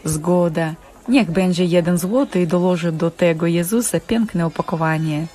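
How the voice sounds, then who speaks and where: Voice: medium pitch, moderate pace, warm tone, close to an everyday voice. An actor playing a pushy, greedy market trader in a cartoon, hawking and wheedling in a sing-song way. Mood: bargaining. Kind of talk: animated stage-play acting.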